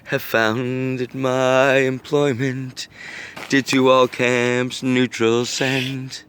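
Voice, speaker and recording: A man reading his own rhyming poem aloud in an earnest, deliberate and rhythmic delivery. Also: medium pitch, little pitch movement, fairly bright tone, a little hiss or hum. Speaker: poet reading own work. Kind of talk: recited rhyming poetry reading.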